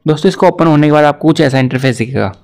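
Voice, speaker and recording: Young guy doing a youtube tutorial, talks really fast, voice a bit high. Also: a little mellow. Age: young adult. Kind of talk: tech tutorial to camera and screen.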